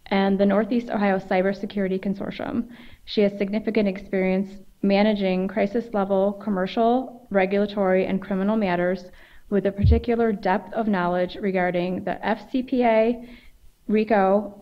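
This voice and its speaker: A woman moderating a law school panel, reading formal introductions of the speakers in a measured way. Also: mid-range voice. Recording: dull lo-fi sound.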